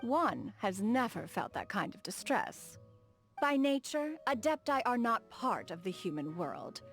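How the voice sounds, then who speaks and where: A dignified immortal lady from a video game, with a fairly high voice that rises and falls widely. She speaks formally and grandly, as a proud, refined inventor. Soft music plays behind her. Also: very animated, measured pace. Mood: reflective, composed. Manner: formal, stately, refers to herself as "one". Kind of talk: video game character dialogue.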